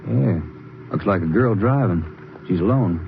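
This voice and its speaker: A man's voice: fairly low pitch, animated, creaky. Plainspoken lawman, noisy old radio. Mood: businesslike. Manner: plain, brisk, direct. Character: firm, plainspoken male Texas Ranger partner. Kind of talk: old-time radio crime drama acting. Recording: dull, very noisy vintage radio recording.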